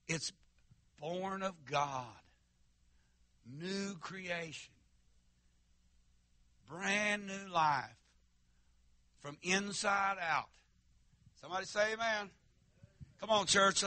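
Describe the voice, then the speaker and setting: A man preaches to his church in a fiery holiness style. He stammers and restarts, then calls out to the congregation. His voice is a little high and sharply bright, recorded dry and close. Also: slow pace, pauses often, no echo. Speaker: pastor. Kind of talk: sermon. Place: church sanctuary.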